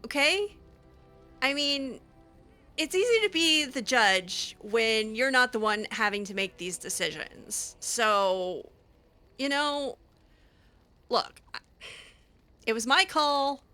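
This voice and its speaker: A woman's voice: fairly high pitch, lively intonation, takes it slowly, pauses often, pushed and bright, faint music behind. A woman improvising in character over a fantasy game, speaking casually with a hesitant, sassy manner. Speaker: game streamer roleplaying her character.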